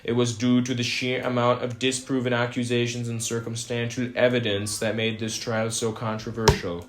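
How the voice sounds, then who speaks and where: A young man co-hosting an amateur mock 1920s radio broadcast, reading scripted news in a measured, stiff, formal way. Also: medium pitch, completely flat delivery.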